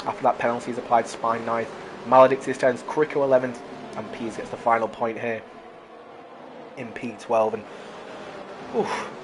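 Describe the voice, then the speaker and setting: Hoarse race commentator, worn-out voice, live play-by-play, excitable, calls viewers guys. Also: mid-range voice, normal speed, pauses often, noisy background. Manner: excitable, builds up during close battles. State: hoarse, losing voice. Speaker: sim racing commentator.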